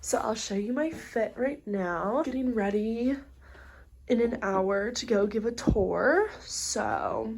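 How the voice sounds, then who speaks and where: A woman's voice: a little high, slow, gravelly, darkish tone, slight background noise. Chatty young woman vlogging. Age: young adult. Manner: chatty, filler-heavy, upbeat. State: congested. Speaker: college student vlogger. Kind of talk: casual vlog chat to camera.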